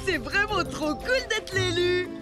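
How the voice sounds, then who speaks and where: A little cartoon kid, very high-voiced and slow to get words out. The child sounds naive and hesitant, with loud music underneath. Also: female voice, lively intonation, full character voice. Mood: delighted. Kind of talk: French cartoon dubbing.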